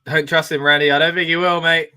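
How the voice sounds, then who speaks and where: A man hosting a live watch-along, chatting excitedly and reacting as he reads out viewer comments. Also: on the high side, quick pace, clear, resonant tone. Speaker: wrestling watch-along host.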